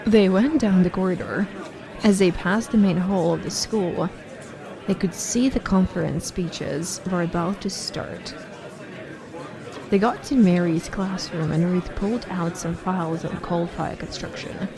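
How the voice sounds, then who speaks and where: Woman reading story narration, lowish creaky voice, mellow. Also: a little slow, a crowd in the background.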